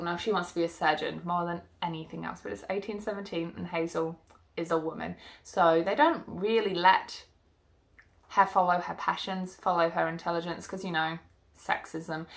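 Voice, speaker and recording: A young woman vlogging about her reading list with a bright, clear-toned voice. She sounds a little stuffy from hay fever and rambles along casually, full of fillers and asides. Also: medium pitch. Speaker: book vlogger. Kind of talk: casual chat to camera about books.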